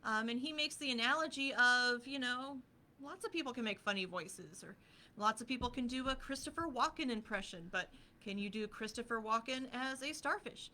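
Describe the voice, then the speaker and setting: Bright, slightly high woman co-host. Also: moderate pace. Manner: agreeable, explaining with examples. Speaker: casting team co-host. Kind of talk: casual chat.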